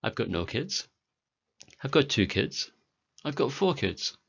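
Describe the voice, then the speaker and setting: A British man in his forties teaching a beginner English lesson, speaking clearly and deliberately for learners. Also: mid-range voice, sing-song, fairly bright tone, muffled recording. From Britain. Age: 40s.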